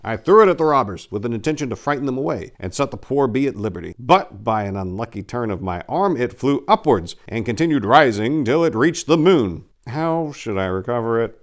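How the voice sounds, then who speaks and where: A man voicing a boastful gentleman adventurer baron, telling tall tales in a grandiose, dramatic storybook reading. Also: medium pitch, lively intonation, fairly quick, hardly any pauses, natural voice. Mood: grandiose, earnest.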